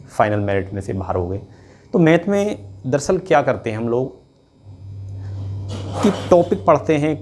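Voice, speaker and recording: A man with a medium-pitched voice speaks as an exam coach. He explains things informally and directly to students, often pausing on short check-in words as he goes. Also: a little mellow, slight background noise. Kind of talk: informal instructional talk to students.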